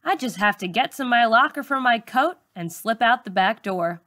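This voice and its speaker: A woman teacher reading a first-person story aloud to schoolchildren in an expressive, colloquial storytelling manner. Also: a little high, normal speed, no echo, clean studio sound.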